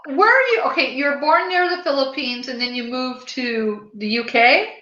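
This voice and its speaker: Bright-voiced American woman podcaster, roomy lo-fi sound. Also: high voice, normal speed. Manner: chatty, rambling. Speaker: podcast host. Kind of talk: casual chat. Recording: roomy echo, dull lo-fi sound.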